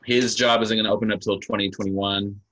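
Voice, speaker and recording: Bright-voiced hesitant man. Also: fairly low pitch, flat delivery, fairly quick, dull audio. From Texas. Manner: hesitant, stammering restarts. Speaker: podcast co-host. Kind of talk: casual chat.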